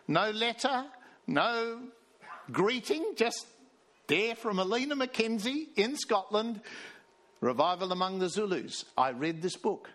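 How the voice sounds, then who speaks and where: A man's voice: sits high, fairly bright tone. A man from Australia, preaching a sermon as minister and telling a story, reading quoted passages aloud with emphasis. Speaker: pastor.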